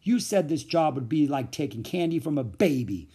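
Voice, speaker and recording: A man voicing a small, irritable sidekick thief in a storybook, with a sharp, exclamatory delivery. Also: mid-range voice, very animated, normal speed, breathy edge. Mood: angry.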